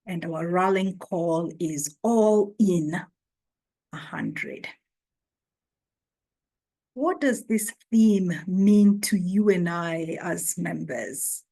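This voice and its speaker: A woman hosting an online orientation, speaking slowly and deliberately as she welcomes new members. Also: lowish voice, mellow. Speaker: orientation host. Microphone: video call.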